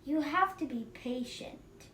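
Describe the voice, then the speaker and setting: A woman's voice: lively intonation, slow pace, fairly bright tone, full character voice. A woman voicing a patient, encouraging mother in a storybook read-aloud. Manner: patient, reassuring. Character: kindly, encouraging mother of a little girl. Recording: roomy echo, faint rumbling noise.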